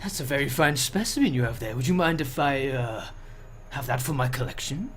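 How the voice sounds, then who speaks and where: A man's voice: medium pitch, lively intonation, a little fast, slight background noise, voice pushed down, close to an everyday voice. A man doing a smarmy old police chief. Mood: greedy. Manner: creepy, smarmy. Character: plump insincere old male police chief.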